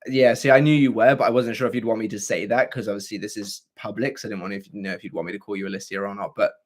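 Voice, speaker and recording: A hoarse young man with a scratchy, throaty voice, hosting a livestream and chatting casually with viewers. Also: fairly low pitch, fairly even intonation, racing. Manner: chatty and rambling, with frequent fillers and throat clearing. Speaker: livestream host. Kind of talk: casual livestream chat answering viewer comments.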